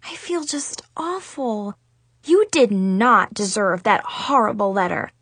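Storybook schoolgirl, played by a woman: sarcastic, excitable, exaggerated, wide swoops in a high register. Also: unhurried, dry recording, heavily acted. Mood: apologetic. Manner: gushing, exaggerated. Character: dramatic, sarcastic, excitable schoolgirl telling her own story.

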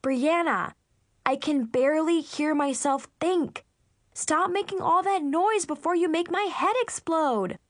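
A woman's voice: sits high, lively intonation, measured pace, bright, forceful tone, dry recording, clean studio sound, voice pushed up, full character voice. A woman voicing a dramatic, whiny teenage girl in a story, easily exasperated and protesting.

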